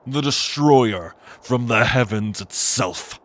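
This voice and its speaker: Man playing a slow, pompous angel tyrant. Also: mid-range voice, natural voice. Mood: contemptuous, boastful. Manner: pompous, contemptuous, grandiose. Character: ancient arrogant male angel lord, gloating tyrant villain. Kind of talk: dramatic fantasy audio roleplay.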